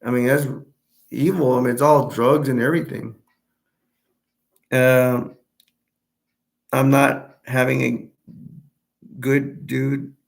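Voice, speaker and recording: A young man from Texas chatting casually on a live stream, answering viewers in a rambling, halting way with many restarts. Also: medium pitch, flat delivery, vocal fry. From Texas, United States.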